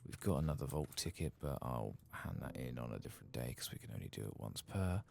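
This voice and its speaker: A man with an extremely deep, husky voice speaks softly in the ASMR style of a game streamer. His gravelly murmur is unhurried, yet it moves up and down a good deal as he talks himself through the play. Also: big pitch swings. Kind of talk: soft-spoken ASMR game playthrough.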